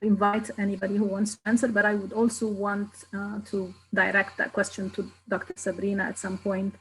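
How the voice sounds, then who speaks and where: A woman's voice: mid-range voice, flat delivery, fairly quick, a bit bright, a little hiss or hum, rough recording. A woman moderating a panel over a video call, who speaks hesitantly and trails off. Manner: hesitant, many fillers, trailing off.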